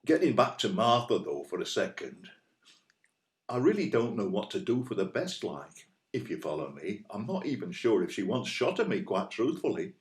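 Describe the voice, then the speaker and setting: An actor playing an earnest, anxious Northern boyfriend in an audio play, with a West Yorkshire accent and a hesitant, rambling, colloquial way of talking. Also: male voice, lowish voice, sing-song, moderate pace, roomy sound. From West Yorkshire, England. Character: earnest, anxious, plain-spoken Northern boyfriend, out of his depth.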